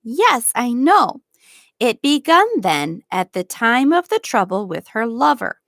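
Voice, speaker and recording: A woman voicing a kindly, loyal old male gardener in a storybook, speaking in a gentle, deliberate rustic dialect. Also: a little high, sing-song, dry recording, studio quality, voice pushed up, full character voice. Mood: knowing. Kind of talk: storybook read-aloud.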